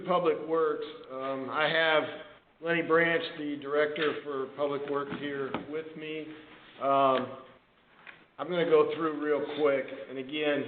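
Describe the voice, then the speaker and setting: A man, a town official, explaining budget items in a halting way on a conference call. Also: fairly high pitch, narrow, tinny audio. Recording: echoey, noisy phone conference line, far off.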